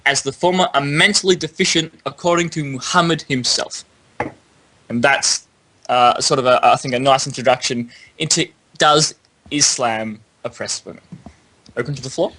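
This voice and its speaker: A man leading a panel discussion in a formal, articulate and measured way, as if presenting prepared material. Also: on the high side, sharp tone, rough recording. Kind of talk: panel discussion, reading prepared text aloud.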